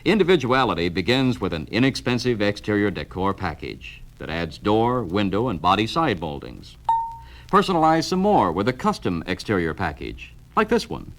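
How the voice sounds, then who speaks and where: A man's voice: fairly low pitch, sing-song, normal speed. An American man reads scripted promotional film narration in a punchy, emphatic salesman's delivery, from a vintage 1970 record. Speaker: narrator of a car dealer training film. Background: music.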